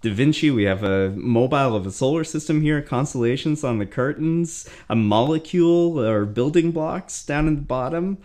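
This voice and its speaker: A man's voice: medium pitch, lively intonation, normal speed, hardly any pauses, darkish tone. A man hosting a casual interview, encouraging his guest and phrasing things hesitantly. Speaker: host.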